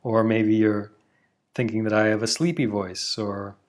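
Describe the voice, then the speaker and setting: A man leading a guided meditation, giving slow, soothing instructions with long pauses. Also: lowish voice, steady tone. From Canada.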